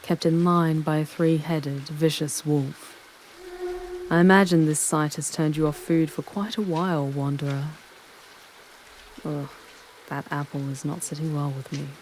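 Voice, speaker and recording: Woman acting a low, sultry demoness, haughty. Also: flat delivery, unhurried, darkish tone, a little hiss or hum, rough recording. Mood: disgusted. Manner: haughty, theatrical, formal phrasing. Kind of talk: ASMR roleplay, dramatic monologue to the listener.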